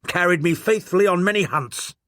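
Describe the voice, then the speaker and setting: A man's voice: high voice, a little fast, a bit bright, dry recording, studio quality, pitched above the performer's own voice. A man reading aloud voices a blustering, bullying old English lord who barks commands and takes offense. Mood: outraged. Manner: imperious, indignant, commanding.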